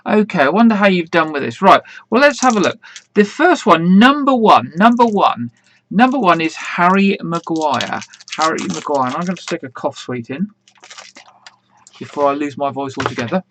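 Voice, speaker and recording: A man hosting an online quiz in a casual, rambling way, full of fillers, with a slightly croaky voice. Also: fairly high pitch, expressive, moderate pace, a bit bright, a little hiss or hum, low-quality recording. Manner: chatty, rambling, many fillers and restarts. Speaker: quiz host.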